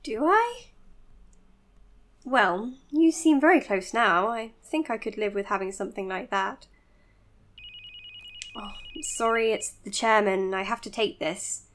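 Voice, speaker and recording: An overworked woman doctor in an audio drama, with a fairly high voice. She is formal and earnest, choosing her words precisely and quick to blame herself. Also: animated, a bit bright, a little hiss or hum. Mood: awkward, wistful. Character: overworked, self-blaming woman doctor running an asylum. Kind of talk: naturalistic audio drama, dictated case notes and dialogue.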